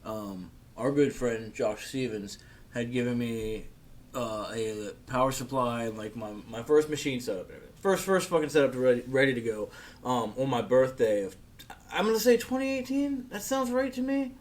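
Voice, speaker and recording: A man of middle pitch talks as a podcast guest into a close mic. He tells stories in a laid-back, rambling way, full of restarts and casual swearing. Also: expressive, moderate pace. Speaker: podcast guest, tattoo artist. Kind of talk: casual chat. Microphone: podcast microphone.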